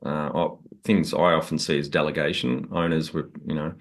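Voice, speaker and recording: A man's voice: very deep voice, flat delivery, normal speed. A man with an Australian accent hosting a business podcast, upbeat and direct as he asks questions. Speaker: podcast host. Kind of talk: interview host, scripted intro.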